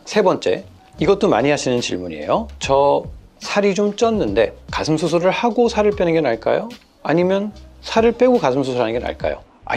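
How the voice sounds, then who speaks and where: A middle-aged man, a plastic surgeon, chatting through common patient questions in a casual, animated way and quoting his patients. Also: a little high. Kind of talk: clinic Q&A explainer video.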